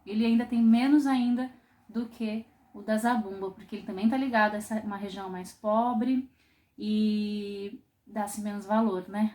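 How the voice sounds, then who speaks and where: A woman's voice: mid-range voice, a little mellow. Brazilian woman teaching, thoughtful and explanatory. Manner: thoughtful, explanatory, with frequent pauses and restarts. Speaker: singing teacher. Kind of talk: online music lesson.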